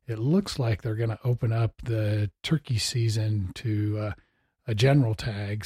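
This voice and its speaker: A man's voice: lowish voice, warm tone, no echo, clean studio sound. An American man hosting a casual interview, with an easygoing, folksy way of asking questions. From Missouri, United States. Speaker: interviewer, host.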